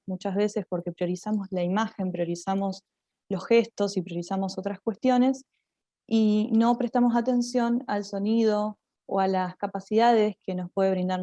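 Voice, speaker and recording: A woman with an Argentine accent giving a conference presentation as a drama teacher, explaining in a halting way with repeated words. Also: medium pitch, warm tone, dry recording.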